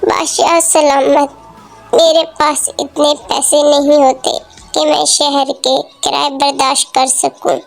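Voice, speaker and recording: A performer voicing kindly, polite characters in a moral storybook tale, speaking in a measured, formal way. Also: high-pitched, level tone, gravelly, full character voice. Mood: humble. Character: kind, generous king and humble poor woodcutter. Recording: music behind the voice.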